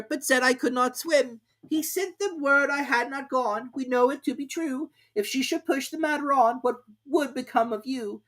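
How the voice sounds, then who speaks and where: A woman's voice: high voice, voice pushed up, poor audio. A woman voicing a flustered rabbit herald. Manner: respectful, flustered. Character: nervous, hurried male rabbit herald.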